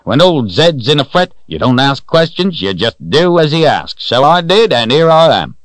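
A man's voice: on the high side, sing-song, moderate pace, no echo, pitched above the performer's own voice. A man voicing a jolly, teasing old man in an audiobook, with a hearty, folksy manner. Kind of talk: audiobook character voice.

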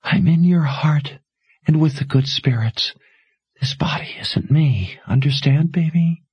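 Male reader playing a gentle dying mother, hushed and breathy, slow. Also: fairly high pitch, no room sound, dull audio, voice pushed up. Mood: urgent tender. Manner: soft, breathy, whispered urging.

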